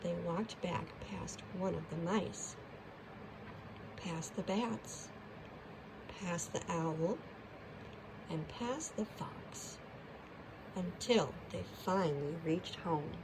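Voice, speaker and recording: Slow-speaking woman reading a storybook. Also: on the low side, noisy background. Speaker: children's storyteller. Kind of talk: expressive storybook narration.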